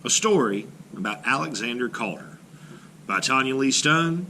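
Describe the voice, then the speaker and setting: Male art teacher reading a storybook to kids, bright and animated, faint room noise behind. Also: mid-range voice. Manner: expressive storybook reading, slowing on key phrases. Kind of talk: children's read-aloud.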